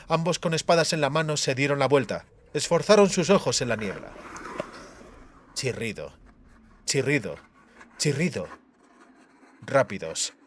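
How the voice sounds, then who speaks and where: A man's voice: mid-range voice, a little hiss or hum. A man narrating a fantasy audiobook in a dramatic, scripted style.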